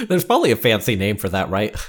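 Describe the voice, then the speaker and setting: A man co-hosting a podcast, chatting casually and thinking out loud as he goes. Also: lowish voice, huge pitch swings, fast. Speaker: podcast co-host. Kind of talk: casual chat.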